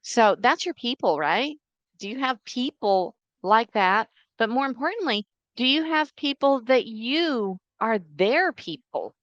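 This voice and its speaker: Middle-aged woman, czech her first language, higher voice, earnest storytelling, dry close sound. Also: lively intonation, unhurried, a bit bright, no room sound, highs cut off. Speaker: guest. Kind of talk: interview storytelling.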